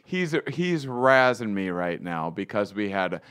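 A man playing a raspy bride-to-be in a prank call, rambling and flustered, using his own low voice. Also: very animated, a little slow, a bit bright. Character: bride-to-be, a woman in a man's voice.

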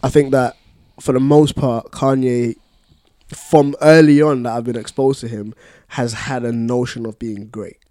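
A man chatting casually on a podcast, explaining his point in a deliberate way. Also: mid-range voice, steady tone, warm tone, no echo, studio quality. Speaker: podcast panellist. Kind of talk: casual discussion among friends.

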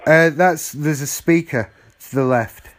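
Muddled, apologetic man with faint background noise. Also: a little high. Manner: feigned slow, apologetic and muddled. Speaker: call recipient stringing a scammer along. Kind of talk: casual phone conversation. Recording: phone call recording with faint noise.

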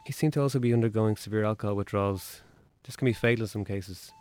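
A man's voice: on the low side, a little mellow, no echo, close to an everyday voice. An actor playing a matter-of-fact male hospital doctor in a radio drama, clinical in manner. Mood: clinical, serious. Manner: clinical, measured in tone. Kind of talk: naturalistic radio drama acting.

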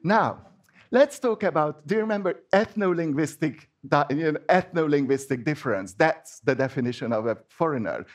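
A man's voice: a little high, animated, normal speed. A man with a Hungarian accent, a native Hungarian speaker, giving an informal sermon in a chatty, hesitant way with many restarts. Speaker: preacher.

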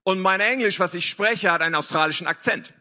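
A man's voice: sits high, sharp tone. A man reading scripture and interpreting a sermon phrase by phrase in an earnest manner. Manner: quick, earnest, restating phrases.

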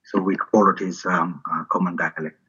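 A very low, husky man from Fiji speaks haltingly, full of ums and uhs. His tone is bright and forceful despite the depth. The recording sounds dull and lo-fi. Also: flat delivery. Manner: halting, many fillers and restarts. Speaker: poet. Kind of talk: interview, then poetry reading.

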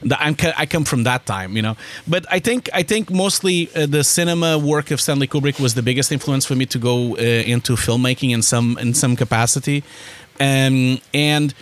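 A man, a podcast guest in casual interview chat who tells his story in an animated, rambling way with many restarts. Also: mid-range voice, a little fast. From Portugal.

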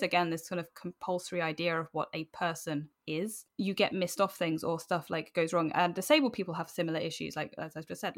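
A woman in her twenties with a British accent, talking casually as a podcast guest, rambling and acting out imagined remarks as they go. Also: fairly low pitch. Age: 20s. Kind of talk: casual interview chat.

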